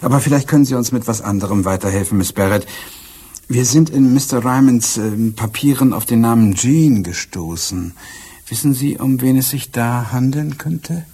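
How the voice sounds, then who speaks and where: An actor playing a composed British police inspector in a German radio crime drama, dryly courteous and probing. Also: male voice, mid-range voice, moderate pace, warm tone, husky.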